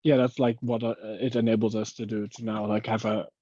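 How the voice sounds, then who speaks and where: A man with a lowish voice speaks casually in a technical online meeting. His phrasing is hesitant and halting. The sound is dry and a little dull, like compressed call audio. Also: flat delivery, dark tone, no room sound. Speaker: working group member giving a technical update.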